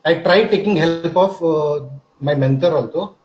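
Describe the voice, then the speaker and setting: A man, a student in an online class, explaining an install problem hesitantly with many pauses and fillers. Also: a little high, darkish tone. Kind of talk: asking for help in an online class. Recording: echoey muffled call audio.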